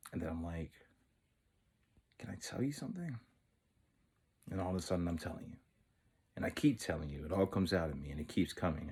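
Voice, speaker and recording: A very deep-voiced man as an earnest lovestruck boy. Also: darkish tone, breathy edge. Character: earnest, lovestruck thirteen-year-old boy confessing wistfully. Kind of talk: dramatic monologue, intimate storytelling.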